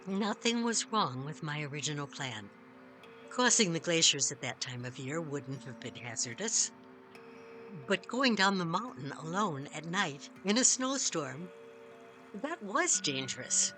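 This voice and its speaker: A woman reading a first-person story as scripted narration, measured, with dramatic pauses. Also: low-pitched, very animated. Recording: music behind the voice. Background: music.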